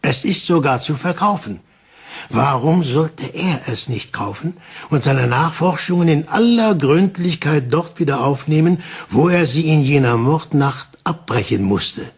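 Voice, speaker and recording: Husky, deliberate older detective. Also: male voice, fairly high pitch, lively intonation, heavily acted. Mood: insistent, explaining. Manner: deliberate, dramatic pauses, explanatory. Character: methodical older male detective, calm and insistent. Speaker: actor in a crime radio play. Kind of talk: German crime radio play acting.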